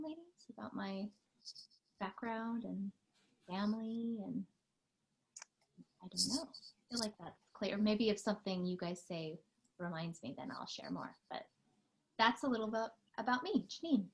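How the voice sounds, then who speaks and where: Woman in her thirties chatting about herself, earnest, lots of ums, normal pitch. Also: expressive, moderate pace, gravelly, long pauses. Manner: earnest and chatty, warm-spoken with fillers. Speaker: podcast co-host. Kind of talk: casual chat.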